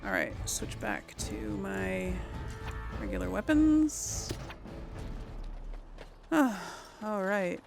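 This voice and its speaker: A woman's voice: medium pitch, wildly swooping pitch, extremely slow, creaky, long pauses. A woman giving casual game commentary to viewers, exclaiming and muttering her reactions as she plays. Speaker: let's play host. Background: game music.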